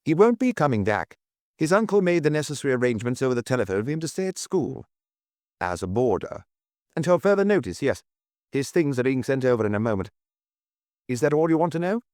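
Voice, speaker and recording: A man voicing a brisk, guarded lawyer in an audiobook, formal, officious and insistent on the telephone. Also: mid-range voice, very animated, darkish tone, no echo, studio quality, voice pushed up, natural voice. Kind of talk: audiobook character dialogue.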